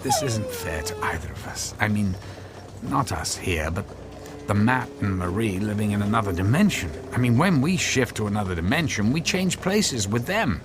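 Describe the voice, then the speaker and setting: A man's voice: lowish voice, wildly swooping pitch, normal speed, over loud music. An actor playing an anxious, cautious husband in a radio drama, hesitant and stammering as he pleads. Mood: worried, pleading. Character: anxious, cautious husband, reluctant inventor of a device.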